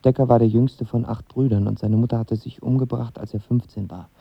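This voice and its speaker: A man's voice: medium pitch, fairly even intonation, fairly quick, creaky, slightly rough, no room sound, lo-fi sound. An actor playing a lovestruck young man of twenty who tells his story in casual slang in a radio drama. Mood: reflective. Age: young adult. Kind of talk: radio drama narration and dialogue.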